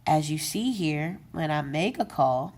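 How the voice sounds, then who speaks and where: A woman with a low voice explains a device step by step. She takes her time and talks off the cuff, with fillers and quick corrections. It sounds like a casual home tutorial. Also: lively intonation, darkish tone. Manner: unscripted, with many fillers and self-corrections. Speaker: tech video presenter. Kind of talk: casual how-to demonstration.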